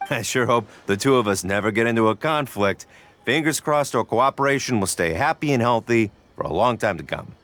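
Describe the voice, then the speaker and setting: A man's voice: mid-range voice, animated, normal speed, a bit bright, slight background noise, lightly acted. An actor playing a polite, cautious businessman in a video game, speaking courteously. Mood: amiable.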